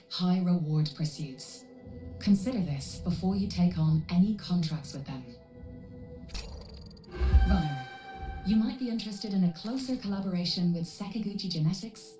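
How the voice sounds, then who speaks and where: A woman playing a composed female AI handler in a video game, speaking in a measured, formal and clinical way. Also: lowish voice, warm tone, roomy sound, recorded from a distance, lightly acted. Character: composed female AI handler briefing mercenary runners. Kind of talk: scripted video game cutscene dialogue.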